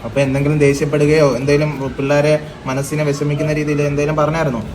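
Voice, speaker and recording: A man's voice: a little high, little pitch movement, quick pace, close to an everyday voice. A man playing a child helpline officer on a prank call, politely but hesitantly questioning someone about a complaint. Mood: probing, insistent. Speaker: prank caller. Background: loud music.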